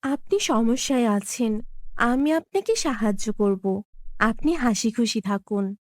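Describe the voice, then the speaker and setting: Lady doing a kind old magic tree for a kids story, gentle n unhurried, super dry sound. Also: fairly high pitch, no echo, pitched below the performer's own voice. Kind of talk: children's fairy tale storytelling.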